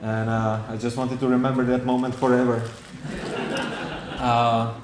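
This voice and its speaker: A man's voice: medium pitch, flat delivery, a little slow, echoey room, background noise. A man with a Czech accent preaching a sermon to a congregation, earnest and repetitive, with many rhetorical questions and restarts.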